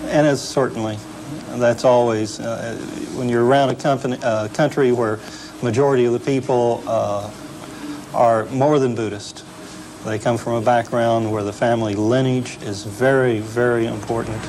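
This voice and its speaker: Man, interview answers, halting delivery, mid-range pitch, clear room noise. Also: fairly even intonation, a little mellow, low-quality recording. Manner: halting, searching for words. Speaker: interviewee explaining family culture.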